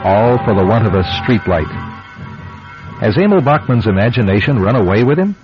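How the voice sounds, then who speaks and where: An ominous suspense host in vintage radio style, low-voiced and widely inflected. He pauses dramatically over clear music, on a dull recording. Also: big pitch swings. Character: ominous male storyteller of a suspense series. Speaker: narrator host. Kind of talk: old-time radio suspense narration.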